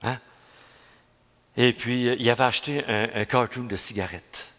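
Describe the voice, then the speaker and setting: An older man with a Quebec French accent delivers a homily in a rambling, homespun storytelling style. His voice sits at a middle pitch and rings out with the long reverb of a church. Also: normal speed, fairly bright tone. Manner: folksy storytelling, many restarts and "hein?". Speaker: priest. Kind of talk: homily at mass.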